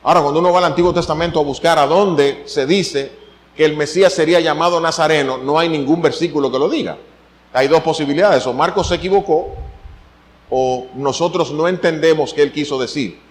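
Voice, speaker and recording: A middle-aged Dominican man preaching in Spanish-accented Caribbean style, his voice sitting a little high for a man. He delivers an animated sermon, lifting his pitch sharply whenever he drives a point home. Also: brisk. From Dominican Republic. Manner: animated preaching, voice swinging up for emphasis. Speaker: pastor.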